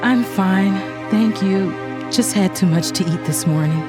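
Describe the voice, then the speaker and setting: A woman's voice: medium pitch, a little slow, over music, lightly acted. An actress playing a flustered pregnant woman lawyer who deflects, in a confiding, dramatic audio drama style. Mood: shaken. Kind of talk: first-person audio drama narration and dialogue.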